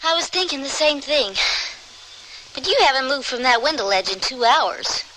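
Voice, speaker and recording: A woman's voice: high voice, lively intonation, moderate pace, sharp tone. An actress playing a headstrong young frontier woman in a radio western, with blunt, scolding country speech. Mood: wary, calmer. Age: young adult. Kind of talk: old-time radio western acting. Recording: slightly noisy radio drama recording.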